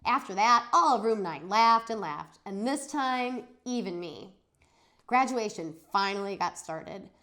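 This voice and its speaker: Lady teacher reading a kids book out loud, really animated, pitch swings all over. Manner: animated, dramatizes dialogue. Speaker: teacher reading a chapter aloud for students. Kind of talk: expressive children's read-aloud.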